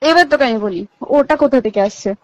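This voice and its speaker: A woman's voice: high voice, animated, fast, a little mellow. A young woman with a West Bengal Bengali accent talking emphatically in an informal recorded phone call, repeating phrases and mixing in English words. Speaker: hospital insider recounting events on a phone call. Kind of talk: informal private phone conversation.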